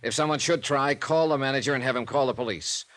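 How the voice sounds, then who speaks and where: An actor playing a wry, self-assured hard-boiled investigator in a clipped 1950s radio detective style. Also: male voice, medium pitch, fast, a bit bright, close to an everyday voice. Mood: brisk, protective. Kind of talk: 1950s radio detective acting with first-person narration.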